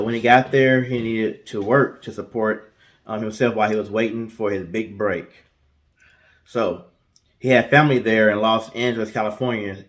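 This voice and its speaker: A man co-hosting a storytelling show, speaking casually and haltingly, often pausing mid-sentence. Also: fairly low pitch, fairly bright tone. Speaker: co-host telling stories in a guessing-game show.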